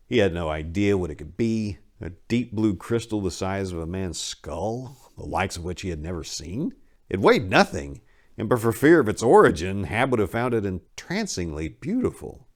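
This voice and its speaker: Deep-voiced man, dramatic storyteller. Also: sing-song, darkish tone. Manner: theatrical, intense, deliberate phrasing. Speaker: narrator reading a story aloud. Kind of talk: dramatic reading of dark horror fiction.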